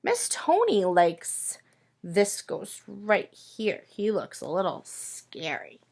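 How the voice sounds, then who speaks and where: A woman teacher reading a picture book aloud to young children in an expressive, sing-song way, pausing to ask them questions. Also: medium pitch, vocal fry.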